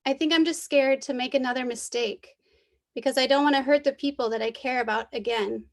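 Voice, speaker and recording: A woman's voice: sits high, steady tone, a little fast. A woman speaking as a poetry mentor at an online reading over a video call. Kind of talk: introducing and reading a poem aloud.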